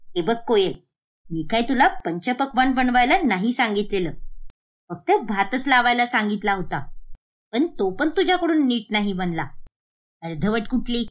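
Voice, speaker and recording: An exasperated middle-aged mother from a cartoon family story, acted by a woman. Her voice sits high and swings widely in pitch as she berates and nags. The delivery is sharp and scolding. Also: lively intonation, normal speed, natural voice. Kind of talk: animated moral story dialogue.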